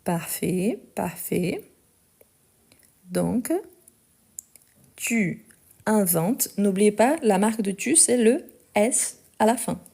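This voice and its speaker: A woman teaching a live online French lesson, speaking slowly and carefully, repeating each sentence and spelling out the verb endings. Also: medium pitch, creaky, mellow, husky.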